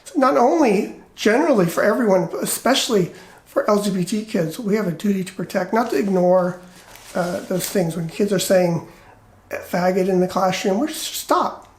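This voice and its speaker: A middle-aged American man with a light, effeminate voice, telling personal stories casually in a rambling, animated way and acting out quoted dialogue. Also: sits high, normal speed. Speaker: retired teacher. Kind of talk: casual personal storytelling and commentary. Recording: roomy, echoey indoor sound.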